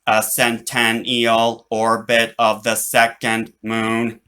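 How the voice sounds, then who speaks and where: A man voicing a dutiful robot servant in a children's story, reciting in a slow, deliberate, literal way. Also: mid-range voice, completely flat delivery, pushed and bright. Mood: flat, dutiful.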